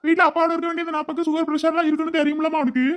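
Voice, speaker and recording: A woman's voice: extremely high pitch, level tone, racing. A woman voicing an upset grown younger son in a family drama, accusing and scolding with pointed questions. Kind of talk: amateur family drama dialogue.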